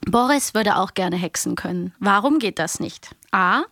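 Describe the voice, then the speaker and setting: A woman's voice: mid-range voice, animated, normal speed, a bit bright. A woman co-hosting a podcast in casual chat, with a playful, teasing manner. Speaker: podcast co-host.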